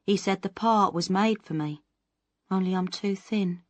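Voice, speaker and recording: A woman voicing a thin, sad young actress with a quietly catty edge. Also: medium pitch, a little slow, dry recording, highs cut off, voice pushed up, lightly acted. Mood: mournful. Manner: mournful, then quietly catty.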